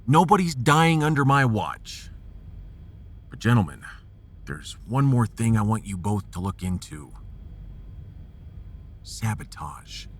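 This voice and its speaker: A man playing a resolute starship captain in a sci-fi audio drama, commanding, earnest and measured. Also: lowish voice, very animated, a little hiss or hum, heavily acted. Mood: firm, commanding.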